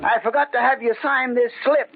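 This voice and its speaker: An actor playing an apologetic, flustered delivery messenger in an old-time radio drama. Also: male voice, very high voice, steady tone, a little fast, husky. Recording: tinny old radio recording.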